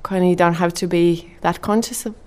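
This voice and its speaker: Latvian woman guest, lower voice, calm and reflective. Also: brisk, clean tone. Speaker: interview guest. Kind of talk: casual reflective chat.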